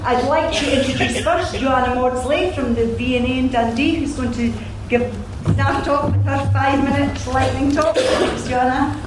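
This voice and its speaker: A woman's voice: sits high, moderate pace, few pauses, over music. A woman hosting an evening event, introducing the speakers in a big, projecting voice. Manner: loud, projecting voice. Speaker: event host. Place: event hall with audience. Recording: echoey room with noise.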